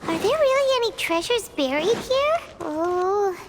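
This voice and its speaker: A woman voicing a tiny, excitable female companion in a video game, chatty and jittery, speaking of herself in the third person. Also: very high-pitched, measured pace, heavy background noise, full character voice. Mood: nervous. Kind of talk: video game character dialogue. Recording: loud game music behind. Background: game music.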